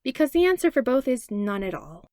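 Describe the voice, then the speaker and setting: A woman's voice: sits high, a little fast, no echo, clean studio sound. A woman voicing a traumatized, defiant teenage boy in a fan fiction audiobook, bitter and indignant. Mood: bitter deadpan. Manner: deadpan turning to indignant growl.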